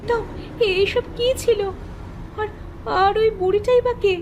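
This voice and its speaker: A woman's voice: very high voice, fairly even intonation, measured pace, a little mellow, a little hiss or hum. A woman playing a curious, easily frightened young heroine in a horror cartoon. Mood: shaken. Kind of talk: Bengali horror cartoon acting.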